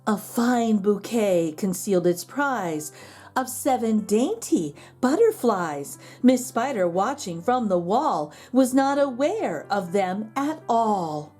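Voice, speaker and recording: A woman reading a children's picture book aloud as a storyteller, with measured, expressive rhyming delivery. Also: medium pitch, soft background music.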